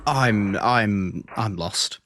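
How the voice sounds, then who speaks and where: A man's voice: fairly low pitch, extremely slow, a bit bright, faint music behind. A man hosting a livestream with casual reaction commentary, thinking aloud in a hesitant way and repeating words as he goes. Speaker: livestream host.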